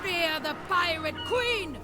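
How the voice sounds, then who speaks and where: A woman's voice: very high-pitched, slow. An actress playing a fierce, bloodthirsty pirate queen in a video game, with theatrical battle cries. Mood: fierce, gloating. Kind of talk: video game character voice acting. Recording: loud game music over voice.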